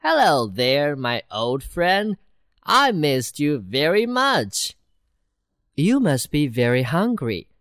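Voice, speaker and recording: A humble, cheerful country mouse who is amazed by everything, voiced by a woman reading a storybook. The voice is low and lively in pitch, spoken very slowly, in a close, dry recording. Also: no echo, heavily acted. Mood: welcoming. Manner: slow, wide-eyed, gently excited.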